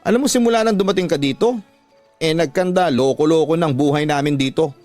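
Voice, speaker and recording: Man acting a scornful drunk young cousin, higher-pitched. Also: brisk, darkish tone, faint music behind, lightly acted. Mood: angry, scornful. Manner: scornful, accusing. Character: drunk, bitter young male cousin, angry and insulting. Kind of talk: Tagalog radio drama acting.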